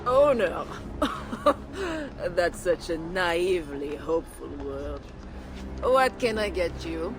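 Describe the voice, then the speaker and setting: An actor playing a wry, world-weary tavern keeper in a video game, drawling with amiable sarcasm. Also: male voice, high voice, animated, takes it slowly, pauses often. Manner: sardonic, drawling. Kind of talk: video game character acting. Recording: music behind the voice.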